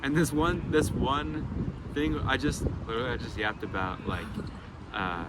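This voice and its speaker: A teenage boy co-hosting a podcast in casual chat, halting with many restarts and fillers. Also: medium pitch, fairly even intonation. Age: teens. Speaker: podcast co-host. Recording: loud background noise.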